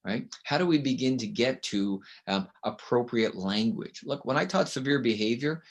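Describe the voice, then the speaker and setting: A middle-aged man from Canada talks in an emphatic, storytelling way, with a loud voice at an ordinary pitch. He often checks in with the listener with a quick "right?" as a guest in an interview. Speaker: guest author and consultant. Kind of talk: conversational interview.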